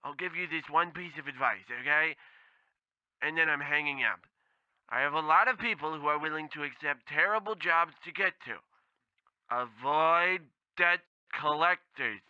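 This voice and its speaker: Man playing a bright, high-voiced, tactless male clerk. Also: moderate pace, clean tone, no echo, lo-fi sound. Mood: bluntly discouraging. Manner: rambling, bumbling, bluntly insensitive. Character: blithely tactless, gloomy male temp agency clerk. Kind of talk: scripted comedy radio play acting.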